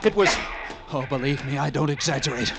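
An actor playing a haunted lighthouse keeper who narrates his tale of terror in intense classic radio drama style, with halting, suspenseful pauses. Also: on the high side, creaky. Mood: horrified. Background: loud dramatic music.

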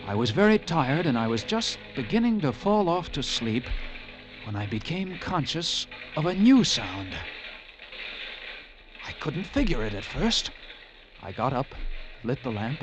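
An actor playing a tense, resourceful lighthouse keeper who narrates a horror story in dramatic classic radio drama style. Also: male voice, mid-range voice, very animated, a little slow, pauses often, rough recording. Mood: tense narration. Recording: vintage radio recording with loud music.

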